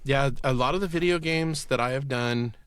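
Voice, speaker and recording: A man's voice: medium pitch, moderate pace, fairly bright tone, smooth, dry recording, studio quality. A man telling anecdotes in casual podcast chat as a guest voice actor.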